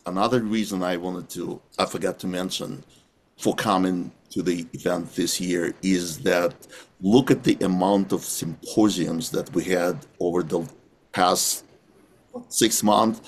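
A middle-aged man co-hosting a podcast over a video call, talking in an earnest, measured way from a small room. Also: sits low. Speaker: podcast co-host. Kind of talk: casual video-call chat.

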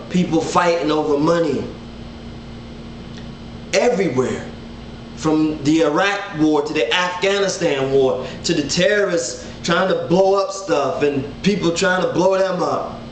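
A man's voice: on the high side, a little slow, a little hiss or hum. Earnest man teaching Bible study at home. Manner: earnest, animated hand gestures, repeats words. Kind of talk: casual online Bible teaching. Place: living room at home, indoors. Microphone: webcam at desk distance. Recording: roomy home webcam audio.

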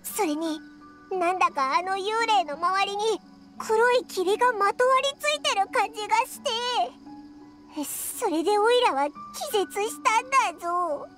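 A woman voicing a small, excitable, easily scared childlike fairy in an anime game, with squeaky, boyish speech. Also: measured pace. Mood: uneasy recounting. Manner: cute rough boyish speech, expressive. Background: music.

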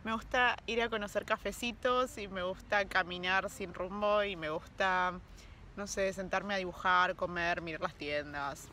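A relaxed woman in her thirties with a Rioplatense Spanish accent, talking easily into a phone held at arm's length. She chats like a travel vlogger, pausing to hunt for the right word. Faint outdoor noise from the park sits behind her voice. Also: mid-range voice. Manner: relaxed, chatty, searching for words. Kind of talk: casual travel vlog chat.

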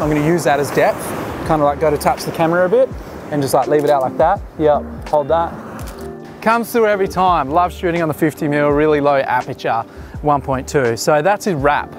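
A man in his thirties directing a beach photo shoot, relaxed and chatty, with many restarts and fillers, recorded outdoors at the shore. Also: on the high side. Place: open sandy beach at sunset, outdoors. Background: music.

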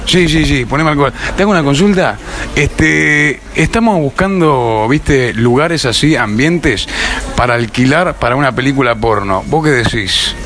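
A man's voice: mid-range voice, animated, moderate pace. A young man with an Argentine Spanish accent, joking and teasing strangers in street interviews as a prank vlogger. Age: young adult. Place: city streets outdoors. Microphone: handheld phone held up to mouth. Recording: street noise behind.

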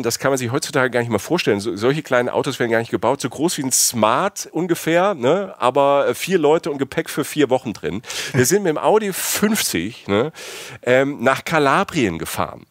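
A man's voice: medium pitch, no room sound, studio quality. A man from south-west Germany, middle-aged, chatting casually and enthusiastically as a podcast host. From Palatinate, south-west Germany. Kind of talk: casual chat.

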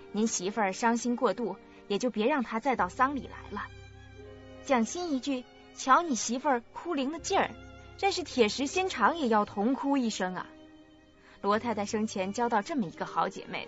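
A woman's voice: on the high side, lightly acted. An actress playing a gossipy, effusive woman chattering with sympathy in a radio drama. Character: gossipy, talkative neighbourly woman, gushing with sympathy. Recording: dull lo-fi audio, faint music.

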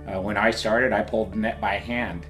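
An older commercial fisherman speaks in a low voice, pausing often with fillers as he answers questions. He sits indoors in an office, with quiet guitar music playing underneath. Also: fairly even intonation. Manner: hesitant, with frequent fillers. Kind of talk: interview answers.

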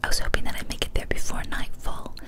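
Whispering young woman reading a scary story. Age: young adult. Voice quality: breathy, unvoiced whisper. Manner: hushed, deliberate, short phrases with pauses. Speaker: ASMR storyteller, channel host. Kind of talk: whispered ASMR reading of a first-person horror story. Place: small indoor room, decorated home studio. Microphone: large studio microphone, very close.

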